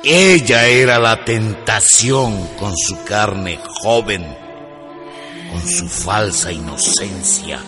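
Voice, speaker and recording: An Ecuadorian man playing a self-righteous, lustful old priest in a radio drama, halting and defensive. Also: fairly low pitch, expressive, music in the background.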